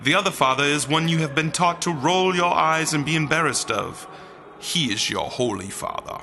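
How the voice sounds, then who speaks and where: A man playing a holy being of light in a comic audio drama, booming and declamatory, with flippant, teasing asides. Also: normal speed, bright, forceful tone. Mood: preachy, teasing. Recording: music behind the voice.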